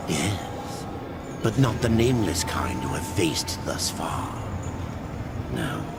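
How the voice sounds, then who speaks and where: An actor playing a solemn, foreboding old keeper of dark secrets in a fantasy video game, speaking in a portentous, oratorical manner. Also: male voice, a bass voice, very animated, slow pace, gravelly, loud music behind the voice, heavily acted. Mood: ominous warning. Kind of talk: dramatic video game voice acting.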